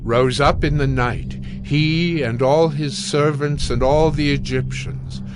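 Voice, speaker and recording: A man narrating dramatized scripture in a solemn, measured storytelling manner. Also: on the high side, steady tone, music in the background.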